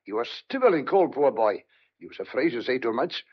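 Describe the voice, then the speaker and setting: An actor playing a shrewd, stubborn old farmhand, speaking folksy dialect in a radio thriller. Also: male voice, mid-range voice, sing-song, moderate pace, breathy edge. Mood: grave, confiding. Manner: folksy dialect, colourful country sayings. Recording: dull, lo-fi radio drama sound.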